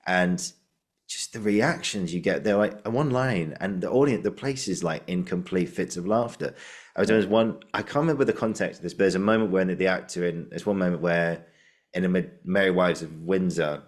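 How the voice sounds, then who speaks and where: A man with a notably deep voice hosts a podcast. He chats informally and eagerly, telling personal anecdotes in a loose, conversational style. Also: fairly quick. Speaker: podcast host. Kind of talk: casual anecdotal chat.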